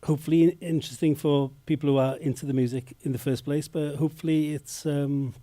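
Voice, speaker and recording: A man, a filmmaker, answering questions at a festival Q&A with many pauses, fillers and restarts. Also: fairly high pitch, fairly even intonation, mellow, no room sound. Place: cinema Q&A stage.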